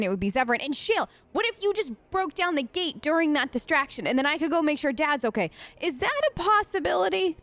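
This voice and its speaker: A woman hosting a casual role-play let's play, talking in a playful, theatrical, halting way as her character. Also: high voice, no echo. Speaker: gaming host, role-playing as her character.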